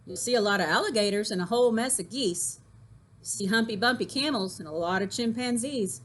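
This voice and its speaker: A woman teacher reading aloud expressively and explaining things simply for children, with an animated, folksy delivery. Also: mid-range voice, a little fast. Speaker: teacher reading poems to grade-school children.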